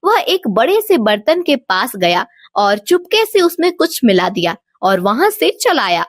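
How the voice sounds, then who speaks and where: A woman's voice: a little high, very animated, measured pace, no room sound, studio quality. A woman storyteller giving scripted narration of a moral tale.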